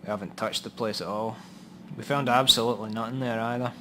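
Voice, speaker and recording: A man's voice: mid-range voice, steady tone, fast, fairly bright tone, lightly acted. A matter-of-fact policeman played by a man in a noir crime radio play. He is dry and wry about grim business, with some noise and ambience behind his voice. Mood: guarded, matter-of-fact. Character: matter-of-fact, grimly wry male police records contact. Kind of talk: noir radio drama dialogue. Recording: audible background noise and ambience.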